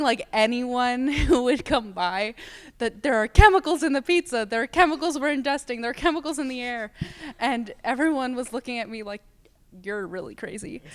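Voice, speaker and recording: A woman's voice: fairly high pitch, clear, resonant tone, dry recording. A teenage girl, a student actor, telling casual anecdotes in an interview. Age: teens.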